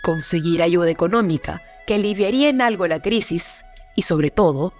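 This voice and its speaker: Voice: mid-range voice, normal speed. A narrator reads a scripted history documentary. The delivery is that of prepared narration, with faint music underneath.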